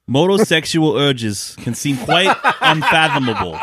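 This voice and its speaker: A man on a casual podcast reads a short passage and keeps giggling. His pitch jumps about a great deal and sits a little high. Clear noise and clicks sit under his voice. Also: very animated, a little slow. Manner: giggly while reading. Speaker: podcast co-host.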